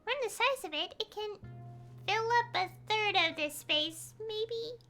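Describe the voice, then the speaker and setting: Game fairy sidekick: childlike, excitable, easily annoyed, bright squeaky voice over clear music. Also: female voice, unhurried, full character voice. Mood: explaining eagerly. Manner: exclamatory, emphatic.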